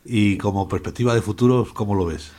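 Male, low voice, radio interviewer, informal questioning. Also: fairly quick, a little mellow, husky.

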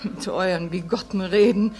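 Eine Schauspielerin spielt im Hörspiel eine verbitterte, trotzige junge Mutter aus armen Verhältnissen, scheltend und heftig, mit schlesischem Akzent. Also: female voice, lowish voice, fairly even intonation, normal speed, a little mellow, natural voice. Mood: bitter scolding. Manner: scolding, vehement. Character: bitter, defiant young working-class mother, scolding and fierce. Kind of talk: German radio play acting.

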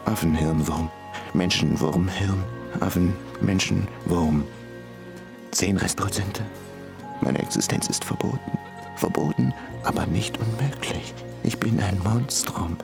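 Deep, gravelly, brooding ape-man creature. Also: over music, full character voice. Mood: brooding, musing. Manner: fragmented, halting, introspective phrases. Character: lonely brooding male ape-human hybrid creature, self-declared monster. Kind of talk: literary radio drama monologue.

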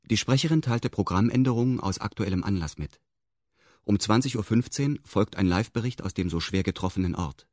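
A man's voice: lowish voice, fairly quick, darkish tone, no room sound, studio quality, close to an everyday voice. A man narrating a radio drama in the first person, in a formal, detached and meticulous manner. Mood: detached, exact. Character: detached man recounting events with pedantic exactness.

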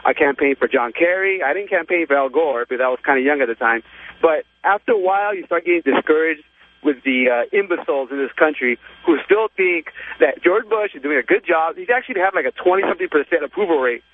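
A man's voice: fairly high pitch, lively intonation, quick pace, no room sound. A man from Los Angeles calling in to a radio show, sounding indignant and exasperated. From Los Angeles, United States. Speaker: caller. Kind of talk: call-in opinion. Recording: tinny phone line.

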